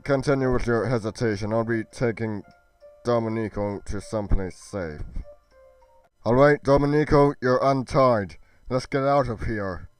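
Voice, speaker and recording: A man playing a brisk, protective adventurer hero who speaks plainly and gives orders. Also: mid-range voice, clear, resonant tone, no echo, recorded from a distance, soft background music, natural voice. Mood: commanding.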